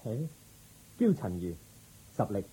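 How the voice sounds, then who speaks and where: An actor playing a modest young prince turned spiritual seeker in a radio drama. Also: male voice, lowish voice, huge pitch swings, a little slow, dark tone, breathy edge, heavily acted, rough recording. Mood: gentle.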